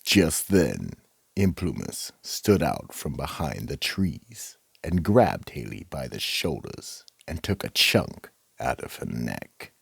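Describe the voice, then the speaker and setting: A man playing a sinister, menacing horror storyteller with a gravelly, husky voice, narrating a radio drama theatrically. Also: extremely low pitch, sing-song, slow, no room sound, studio quality, exaggerated voice. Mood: ominous. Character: sinister psychotic horror storyteller, keeper of the vault. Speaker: host and narrator.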